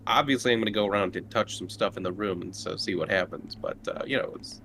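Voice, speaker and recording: A man chatting casually and haltingly as a player on a tabletop game podcast. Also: on the low side, a bit bright, slight background noise. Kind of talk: casual chat.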